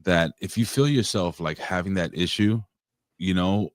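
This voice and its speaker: Deep-voiced man in his forties, swearing. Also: moderate pace, dry recording, clean studio sound. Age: 40s. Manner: profane, rambling storyteller with many restarts. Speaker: guest. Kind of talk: casual chat.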